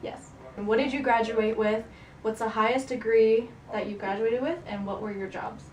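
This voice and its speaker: Young woman student, playful interviewer, slightly high, far from the mic with light hiss. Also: fairly even intonation, darkish tone. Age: young adult. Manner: chatty, teasing, quick to laugh. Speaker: student interviewing her family. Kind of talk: casual home interview with narration. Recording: roomy, distant camera mic, faint hiss.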